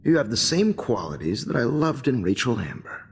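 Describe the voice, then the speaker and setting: A man's voice: mid-range voice, sing-song, normal speed. A man playing a smug, creepy photographer in a video game, seductive and sneering. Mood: smooth flattery. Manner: sneering, mocking. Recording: long reverb, faint noise.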